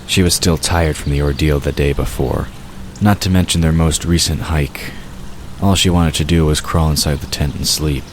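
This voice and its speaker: A male narrator with an exceptionally deep voice reads a scripted fantasy story. Nature sound effects run clearly behind him. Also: steady tone, normal speed, a little hiss or hum.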